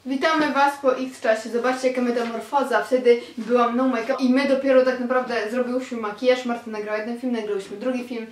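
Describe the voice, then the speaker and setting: Woman, 20s, from Poland. Gushing casual vlogger on a close handheld camera, slightly high voice, roomy audio. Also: steady tone, over music. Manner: chatty, gushing, quick asides to viewers. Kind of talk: casual vlog chat to camera. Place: small bedroom at home. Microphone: handheld camera held close.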